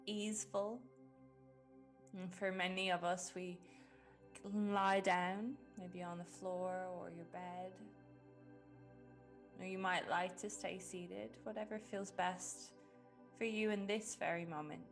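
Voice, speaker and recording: Gentle, low-voiced woman guiding meditation. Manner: gentle, unhurried, with long pauses. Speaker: meditation guide. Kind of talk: guided meditation narration. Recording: music behind the voice. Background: background music.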